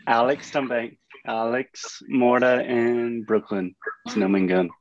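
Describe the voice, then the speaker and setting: Slow-speaking man on dull call audio. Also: mid-range voice. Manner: relaxed, encouraging, speaks slowly for learners. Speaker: language teacher running the class. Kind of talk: casual class conversation.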